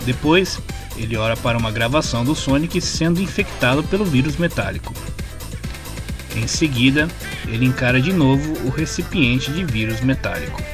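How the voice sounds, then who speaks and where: A man's voice: medium pitch, animated, normal speed. A man with a Brazilian accent reading a scripted retelling of a story as its narrator. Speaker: narrator of comic story summaries. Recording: music clearly playing behind the voice. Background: background music.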